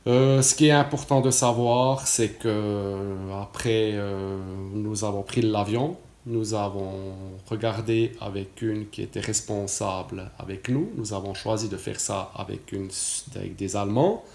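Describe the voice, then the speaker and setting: A man in his late forties from Switzerland speaks with a Swiss French accent, a somewhat low voice and a halting manner full of fillers and false starts. He tells his story casually as a client testimonial. The recording has a noticeable room echo. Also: gravelly, darkish tone. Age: 40s. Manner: hesitant, many fillers and restarts.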